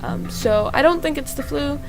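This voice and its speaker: A woman's voice: high-pitched, moderate pace, vocal fry. A young woman with a sore, congested throat, rambling casually as she comments on a game she is playing. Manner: rambling, chatty, with fillers. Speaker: gaming YouTuber. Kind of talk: casual let's-play commentary. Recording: loud game music behind.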